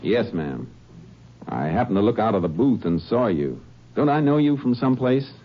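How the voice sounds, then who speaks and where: Radio drama role: laconic American Texas Ranger, deep voice, steady, noisy vintage recording. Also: male voice, normal speed, dull audio. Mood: calm, deliberate. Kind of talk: 1950s radio drama acting.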